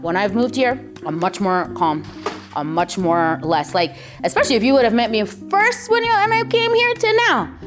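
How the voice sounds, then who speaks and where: A middle-aged woman with an American accent telling her life story in a relaxed, chatty interview, with lots of "um" and "like.". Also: medium pitch, moderate pace, over music. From Poland. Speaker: interview guest, lawyer.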